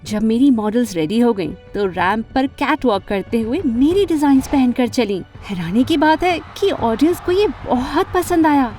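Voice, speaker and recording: A woman voicing an earnest, emotional teenage girl who narrates and acts out her animated story dramatically. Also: a little high, moderate pace, few pauses, soft background music, natural voice. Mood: wistful narrating. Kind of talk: first-person animated story narration and dialogue.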